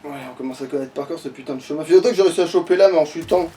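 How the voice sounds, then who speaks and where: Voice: mid-range voice, very fast, mellow, distant mic, slight background noise. A gamer giving casual, sweary gameplay commentary in an exasperated, grumbling manner. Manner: exasperated, foul-mouthed grumbling. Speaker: let's play gamer.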